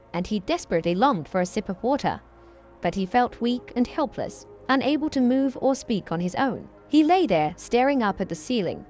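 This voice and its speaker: A woman's voice: on the high side, a little mellow. Woman narrating a story over music.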